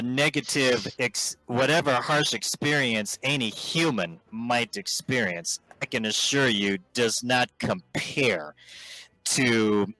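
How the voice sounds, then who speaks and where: A man speaking as a vegan activist in a voice-chat debate, halting with frequent restarts and ums. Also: mid-range voice, sharp tone, no echo, rough recording. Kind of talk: debating on a voice call. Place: online voice chat.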